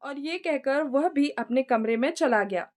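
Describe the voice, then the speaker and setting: A woman narrates a moral story as a storyteller. Her voice is very high, and she takes her time over each phrase in a scripted delivery. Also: a little slow, darkish tone, faint music behind.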